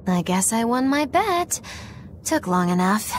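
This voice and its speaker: A woman's voice: on the high side, very animated, measured pace, slight background noise. A woman playing a cool, sardonic, scheming witch with a wry, theatrical delivery in a game cutscene. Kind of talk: dramatic game cutscene acting.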